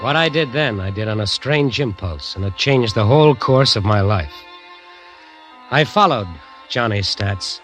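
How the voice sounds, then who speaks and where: A man's voice: fairly low pitch, expressive, measured pace. An American actor playing a haunted bandleader who narrates his eerie tale in intense, suspenseful, vintage radio drama style. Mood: tense, intrigued. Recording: vintage radio recording with music.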